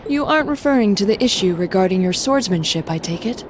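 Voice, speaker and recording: A woman plays a young female student in a game cutscene. She is composed and dignified, with formal, measured wording at a medium pitch. There is clear rumble behind her. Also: lively intonation, lightly acted. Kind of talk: video game cutscene dialogue acting.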